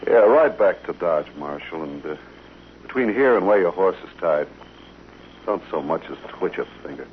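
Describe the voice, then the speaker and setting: A very deep-voiced American gunman of the Old West, hard, cool and deliberate, with menace in the way he talks. The sound is a dull old radio drama recording with light hiss. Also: lively intonation. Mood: cool threat. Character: hard, menacing, possessive western gunman and saloon man. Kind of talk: 1950s radio western acting.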